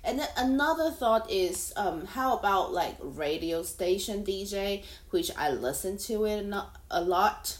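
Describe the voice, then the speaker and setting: Slow-talking woman in an echoey room. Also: mid-range voice, lively intonation. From Taiwan. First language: Mandarin. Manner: rambling, chatty, halting second-language phrasing. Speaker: podcast host. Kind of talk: casual solo podcast monologue. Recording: roomy echo.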